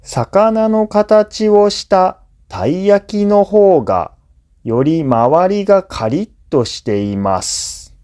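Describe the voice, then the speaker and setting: Slow, mellow male narrator. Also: high-pitched, lively intonation. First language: Japanese. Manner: measured, clearly phrased explanatory delivery. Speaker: language teacher reading listening practice. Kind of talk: scripted educational narration.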